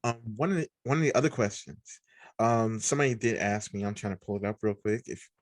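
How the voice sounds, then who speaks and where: A young man with a deep voice hosting a podcast, chatting casually with pauses and restarts. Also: no echo. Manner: relaxed, halting with fillers and restarts. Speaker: podcast host. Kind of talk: casual interview chat.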